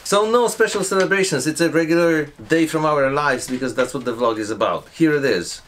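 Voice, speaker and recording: A man's voice: a little high, fairly quick, very noisy. A man in his forties chatting casually to camera for a daily vlog, explaining his project as he goes, often restarting sentences. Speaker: vlogger. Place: indoors, garage workshop and stores.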